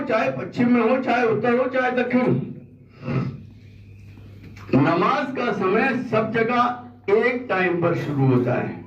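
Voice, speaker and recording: A man making a public statement of grievance in an insistent, argumentative way, with rhetorical questions and repetition. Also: extremely high pitch. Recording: echoey, muffled, distant microphone.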